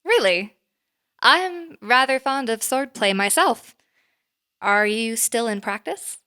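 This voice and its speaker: Poised, formal manager, lightly high-pitched. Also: female voice, expressive, bright, forceful tone, smooth, heavily acted. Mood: courteous, intrigued. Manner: formal, measured, polite. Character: poised, courteous woman manager, orderly and businesslike. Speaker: actor in an audio drama. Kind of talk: naturalistic audio drama acting.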